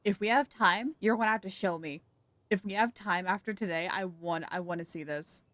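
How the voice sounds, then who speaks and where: A woman's voice: mid-range voice, moderate pace, background noise. A woman livestreaming a game with casual, chatty commentary, reacting aloud as she goes.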